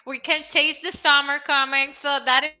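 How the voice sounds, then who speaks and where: A woman's voice: high-pitched, level tone, normal speed, bright, forceful tone, soft background music. A woman hosting a podcast, enthusiastically introducing her guest and asking questions. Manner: enthusiastic, gushing. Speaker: podcast host.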